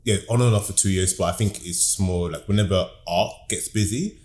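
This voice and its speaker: Low-voiced man, cheeky. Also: a little fast, a little mellow. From London, England. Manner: playful, cheeky storyteller. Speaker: podcast guest, comedian and artist. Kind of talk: casual interview chat.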